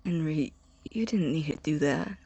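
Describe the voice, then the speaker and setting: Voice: medium pitch, moderate pace, gravelly, dark tone, slightly rough, no room sound. An actor playing an earnest, awkward young man in audio drama dialogue, speaking politely and hesitantly. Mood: flustered, tender.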